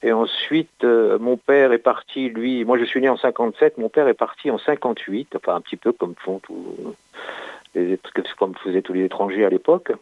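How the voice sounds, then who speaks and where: Gravelly-voiced man in his sixties, halting. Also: medium pitch, dry recording. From Italy. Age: 60s. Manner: halting, many restarts and hesitations. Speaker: sculptor. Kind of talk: recounting his life story. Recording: dry phone-like audio.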